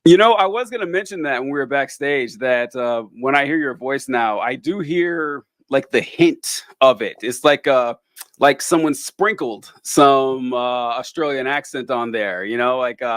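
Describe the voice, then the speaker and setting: A man's voice: mid-range voice, expressive, moderate pace, fairly bright tone, no room sound. A man hosting a podcast in casual chat, rambling with lots of fillers and casual swearing. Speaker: podcast host.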